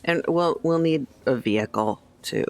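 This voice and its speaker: Lady gamer w a low voice, dry humor, casual table talk. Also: animated, moderate pace, gravelly, a little mellow. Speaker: player. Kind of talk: casual tabletop actual-play chat.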